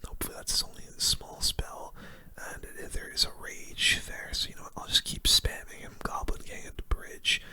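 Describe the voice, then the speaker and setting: A gaming host giving hushed, casual ASMR commentary in a whisper, using relaxed gamer slang. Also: moderate pace.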